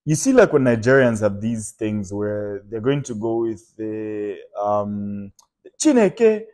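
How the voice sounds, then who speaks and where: A man's voice: on the low side, lively intonation, slow, a little mellow. Young guy, chill podcast guest, rambly storytelling w lots of "like". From Zambia. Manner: storytelling, laughs often, many fillers. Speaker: guest comedian. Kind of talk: casual podcast chat.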